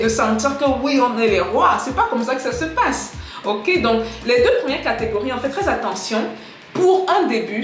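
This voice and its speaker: A woman giving a casual spoken lesson to camera, lively and direct, talking straight to her viewers. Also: a little high. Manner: lively, direct, addresses viewers as "les gars"; switches into English example sentences. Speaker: English teacher on a video channel. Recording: echoey room with faint music.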